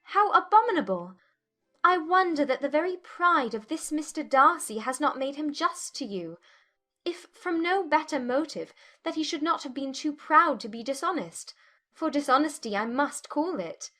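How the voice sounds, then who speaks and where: An actress playing a spirited, indignant young gentlewoman in a dramatized audiobook, with emphatic, formal period speech. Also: high-pitched, expressive, normal speed. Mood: shocked, indignant. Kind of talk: dramatized audiobook character acting.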